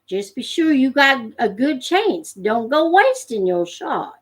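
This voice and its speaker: Woman narrator as folksy country dad, patient hunter, practical, homespun dialect, storybook reading, higher-pitched. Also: sing-song, slow pace, slightly rough, exaggerated voice. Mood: instructive, folksy.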